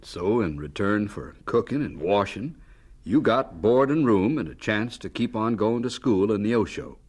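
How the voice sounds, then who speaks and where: A man playing an attentive, sympathetic interviewer in a scripted radio drama, with a husky voice. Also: fairly low pitch, animated, normal speed, a little mellow. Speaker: interviewer narrator.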